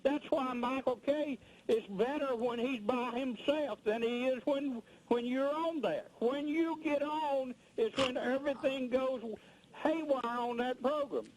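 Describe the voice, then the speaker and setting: A man's voice: very high voice, unhurried, darkish tone, slight background noise, rough recording. A combative man, calling a radio show to rant angrily and repeating himself as he scolds. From rural United States. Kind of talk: angry phone-in rant.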